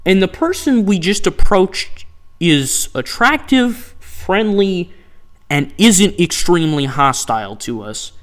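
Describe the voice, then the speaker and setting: A man live-streaming a dating game, reacting with sarcastic, incredulous commentary and reading options aloud. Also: high voice.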